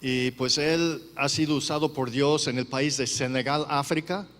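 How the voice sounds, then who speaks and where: A man from the USA, a missionary preacher, speaking slow, halting Spanish. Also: mid-range voice, fairly even intonation, fairly bright tone. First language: English. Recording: roomy church reverb.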